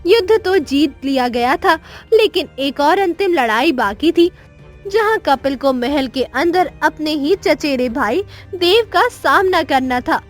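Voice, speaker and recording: High-pitched woman narrating a children's story. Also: expressive, measured pace, soft background music.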